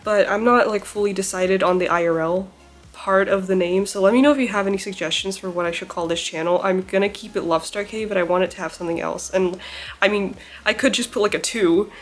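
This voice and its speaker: A woman's voice: mid-range voice, fast talker, soft background music. A young woman vlogging casually to camera at home, chatty and bubbly with lots of laughs and fillers, her voice a little off from a recent illness. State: recovering from illness, slightly off voice. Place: indoors at home by a plain wall.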